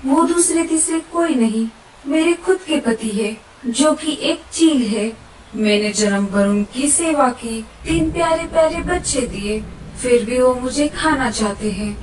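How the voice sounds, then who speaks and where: Woman doing a brave scheming mama bird for a cartoon, high voice, echoey with faint noise. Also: animated, measured pace. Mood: pleading, sly. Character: clever, brave mother bird, pleading and scheming. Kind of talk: cartoon voice acting. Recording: roomy echo, distant, faint noise.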